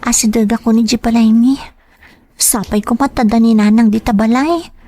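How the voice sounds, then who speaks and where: A woman's voice: a little high, fairly even intonation, normal speed, mellow, close to an everyday voice. A woman playing a devoted, worried young student daughter in an Ilocano radio drama, earnest and concerned. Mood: pensive, troubled.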